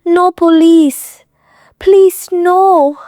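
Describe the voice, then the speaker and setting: An actress playing a frightened, guarded young woman with a thick foreign accent, speaking halting broken English in an audio drama. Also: very high-pitched, steady tone, warm tone, no room sound, studio quality, exaggerated voice. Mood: panicked pleading. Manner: halting, broken English in short clipped phrases.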